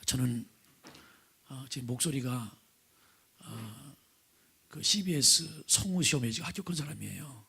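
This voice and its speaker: A middle-aged male pastor preaching with a hoarse, raspy voice from vocal nodules, often sinking to a breathy near-whisper and asking the congregation questions. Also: mid-range voice, a little slow, long pauses, pushed and bright. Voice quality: raspy, gravelly. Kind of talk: sermon with opening prayer. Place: church sanctuary, at the pulpit.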